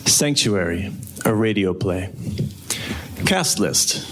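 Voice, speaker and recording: A man's voice: fairly low pitch, expressive, takes it slowly. A man reading scripted narration for a radio play live on stage. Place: theatre stage before an audience. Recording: live stage recording, clear background noise.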